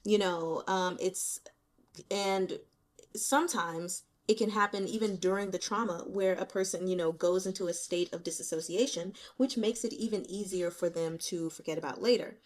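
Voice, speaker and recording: Candid, animated woman chatting about books. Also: lowish voice. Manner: candid, animated, colloquial, quick to laugh. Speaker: host of a book reading channel. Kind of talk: casual chatty book commentary. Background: rain.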